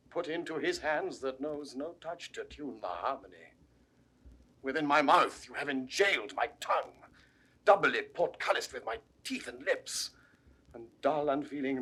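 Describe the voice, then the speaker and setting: An actor playing a proud, wounded, aging English duke, speaking Shakespearean verse in a husky British voice with measured, mournful eloquence. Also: male voice, mid-range voice, pushed and bright, heavily acted. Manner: measured, rhetorical. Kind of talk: 1960s classical Shakespearean verse acting.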